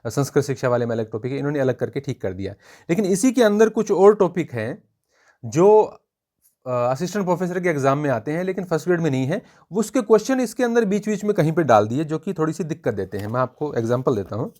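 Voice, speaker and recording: A man giving an informal spoken review for students as an exam coach, explaining in a measured way and pointing out examples. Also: on the high side, sing-song, darkish tone.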